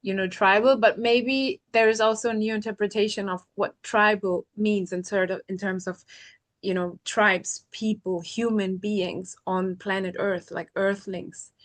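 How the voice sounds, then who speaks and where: A woman's voice: medium pitch, steady tone, a little slow. Woman hosting a podcast chat. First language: Portuguese. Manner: thoughtful, rambling, peppered with "you know" and soft laughs. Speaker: podcast host. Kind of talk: casual conversational interview.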